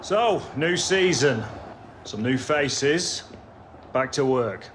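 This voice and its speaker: An actor playing a blunt, driven football coach in a video game cutscene. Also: male voice, mid-range voice, animated, slow pace, soft background music. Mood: rallying. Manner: rallying, blunt.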